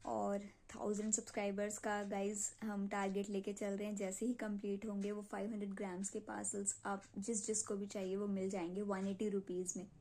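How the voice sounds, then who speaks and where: A woman's voice: medium pitch, flat delivery, brisk, few pauses, warm tone. A young woman with a North Indian accent chats casually to her subscribers in an informal way, repeating phrases for emphasis. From Punjab, India. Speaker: video creator selling clay. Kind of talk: casual chat to subscribers, Hindi mixed with English.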